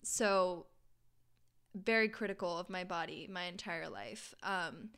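A woman from Argentina, a guest on a podcast, talks in a bright, smooth, crisp voice. She is lively and casual, scattering "like" through her sentences. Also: on the low side, unhurried. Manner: lively, laughs, frequent "like". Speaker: guest, fitness professional. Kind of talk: casual interview chat.

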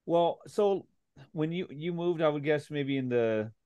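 A man's voice: on the high side, unhurried, clear, resonant tone, no room sound, lo-fi sound. A man hosting a podcast, asking rambling, searching questions full of fillers. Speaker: podcast host. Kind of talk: casual interview conversation.

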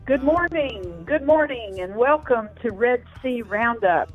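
Gravel-voiced woman hosting over the phone. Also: fairly high pitch, expressive, unhurried, soft background music. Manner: chatty, hesitant with fillers. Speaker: radio show host. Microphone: phone line. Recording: tinny dry phone line.

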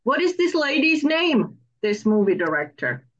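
Lady interviewer, voice a bit high, casual chat, kinda muffled recording. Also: very animated, unhurried. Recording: dull lo-fi sound.

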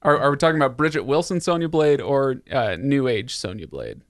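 A man's voice: mid-range voice, expressive, a little fast, no room sound, studio quality. A man hosting a casual, conversational podcast discussion about films. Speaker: podcast host. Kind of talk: casual film chat.

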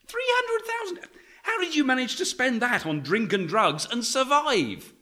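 A man's voice: extremely high pitch, sing-song, measured pace, pushed and bright, exaggerated voice. An actor playing a middle-aged author and father in a radio play, speaking with dry, sardonic wit. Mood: wry.

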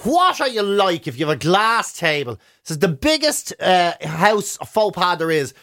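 A man's voice: high voice, very animated, measured pace, fairly bright tone, no echo, clean studio sound. A man with a Dublin accent, a breakfast radio host doing comic, emphatic banter in mock outrage. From Ireland.

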